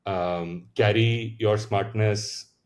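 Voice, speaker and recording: Indian founder, thirties, very low voice, reflective and halting, casual interview storytelling. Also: male voice, fairly even intonation. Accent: Indian English. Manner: reflective, many fillers and restarts. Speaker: founder, podcast guest.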